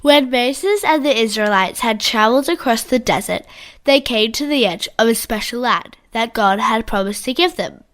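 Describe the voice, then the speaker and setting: A woman's voice: fairly high pitch, lively intonation, normal speed, fairly bright tone. A woman with an Australian accent co-narrating a scripted children's story.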